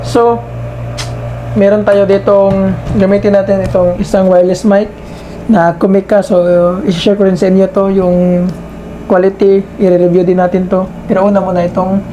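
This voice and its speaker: A man giving a casual hands-on product demonstration as a reviewer, halting and repeating himself as he searches for words. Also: high voice, level tone, noisy background.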